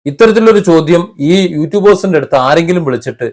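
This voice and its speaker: A man with a fairly high voice delivers opinion commentary. He speaks haltingly, leaning on his points with emphasis and a critical edge. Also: animated, a little mellow. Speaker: commentary vlogger.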